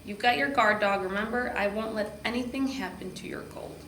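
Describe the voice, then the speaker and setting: A woman's voice: medium pitch, brisk, fairly bright tone, distant mic, close to an everyday voice. An eager, friendly girl dog from a children's storybook, played by a woman reader. She is cheerful and loves to talk. The room echoes and there is some background noise. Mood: upbeat, reassuring. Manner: eager, talkative. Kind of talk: children's storybook read-aloud.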